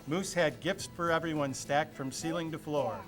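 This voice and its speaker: A man's voice: fairly high pitch, moderate pace. A man reading a children's storybook aloud in a playful, theatrical way. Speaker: storybook reader. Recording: music playing behind the voice.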